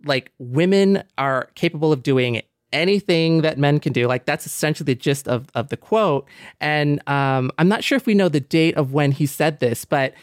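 A man's voice: a little high, normal speed, hardly any pauses, no room sound, studio quality. A man hosting a podcast in a casual, reflective discussion, thinking aloud in an earnest way. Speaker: podcast host.

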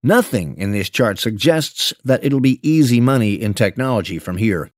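A man narrating a written financial piece aloud in a measured, articulate and deliberate way. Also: mid-range voice, lively intonation, no room sound, studio quality. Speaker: professional narrator reading an investment memo. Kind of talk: scripted narration, read aloud.

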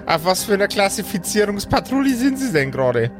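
A man playing an officious, stammering supervisor on a superhero agency hotline, speaking formally and haltingly. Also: high-pitched, very animated. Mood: stern. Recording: music behind the voice.

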